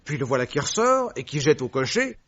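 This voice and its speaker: A man's voice: on the high side, very animated, brisk, highs cut off, noisy background. An actor playing a witty, eager male detective who tells things playfully and vividly in a French radio drama. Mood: excited, urgent.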